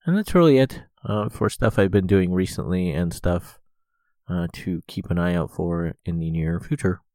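A man's voice: low voice, moderate pace, mellow, no echo. A young man hosting a rambling solo podcast, stuffed up with a cold, filling his sentences with lots of "uh". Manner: rambling, many "uh" fillers. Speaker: podcast host.

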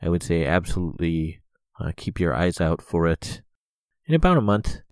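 A man's voice: low-pitched, sing-song, moderate pace, no echo. A young man hosting a rambling solo podcast, stuffed up with a cold, filling his sentences with lots of "uh". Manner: rambling, many "uh" fillers. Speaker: podcast host.

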